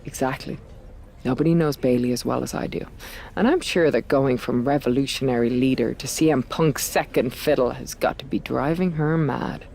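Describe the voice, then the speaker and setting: A woman's voice: extremely low pitch, moderate pace, vocal fry, darkish tone, slight background noise. A woman playing a brash, scheming veteran wrestler in a video game cutscene, talking in a persuasive, conversational way.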